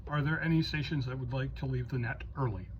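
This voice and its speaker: A halting, courteous man speaking as the controller of an amateur radio net, in procedural style. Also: mid-range voice. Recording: dull lo-fi audio, faint noise.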